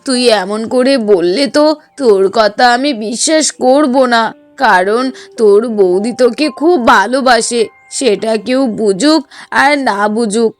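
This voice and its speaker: A woman plays a kindly older mother in a cartoon, lower than a girlish voice. She reasons patiently and soothingly, with music behind her. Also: measured pace, lightly acted. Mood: gently doubting. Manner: soothing, reasoning, mildly exasperated. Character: kindly older mother, patient, gently doubting, protective of daughter-in-law. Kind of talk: Bengali cartoon dialogue.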